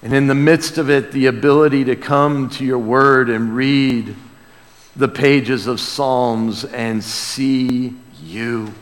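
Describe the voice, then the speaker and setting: A man preaching and praying to a congregation, earnest in manner, swelling from hushed to emphatic. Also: mid-range voice, fairly even intonation, slow. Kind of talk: sermon and prayer to a congregation. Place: large church room or hall. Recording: reverberant hall sound.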